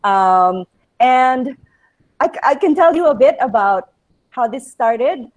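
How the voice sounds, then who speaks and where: A Filipino woman in her thirties chats easily about her work. Her voice sits a little high, and she talks in loose runs broken by fillers and restarts. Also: expressive, slow, rough recording. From Philippines. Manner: chatty, many fillers and restarts. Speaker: children's book author. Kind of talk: casual interview chat.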